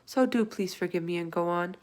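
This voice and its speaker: A woman's voice: on the low side, fairly quick, a little mellow, close to an everyday voice. A woman voicing a curious, polite, wide-eyed young girl in a storybook, with an eager, questioning manner. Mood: earnest, apologetic. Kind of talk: storybook read-aloud character voice.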